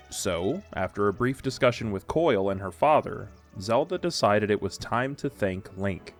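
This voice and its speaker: A man with a fairly low voice narrates a fantasy story. His delivery is measured and literary, with faint music running underneath. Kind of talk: scripted audio drama storytelling.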